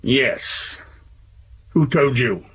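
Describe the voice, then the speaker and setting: An actor playing a coolly authoritative, evasive police chief, formal and dismissive, in a radio drama. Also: male voice, mid-range voice, animated, drawn-out words, fairly bright tone, breathy edge, muffled recording, heavily acted. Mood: stern, evasive.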